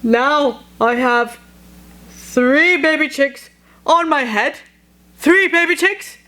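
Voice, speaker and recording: Woman playing anxious, high-pitched cartoon elephant. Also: slow, sharp tone, heavily acted. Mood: exasperated. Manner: stammering, exclaiming, dramatically dismayed. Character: anxious, exasperated cartoon elephant from a picture book. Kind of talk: expressive children's read-aloud.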